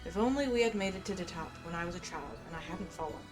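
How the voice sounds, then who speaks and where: A woman's voice: fairly low pitch, lively intonation, fast talker, music in the background. A woman playing through a game story, commenting casually with offhand asides and reading quest text aloud.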